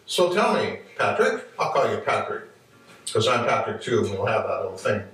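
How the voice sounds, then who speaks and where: A man's voice: on the low side, big pitch swings, moderate pace, fairly bright tone. A male host with a mid-range voice introduces his guest and asks friendly questions. He sounds a little far from the mic, in a very reverberant room. Faint music plays underneath. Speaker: talk show host. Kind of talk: interview hosting.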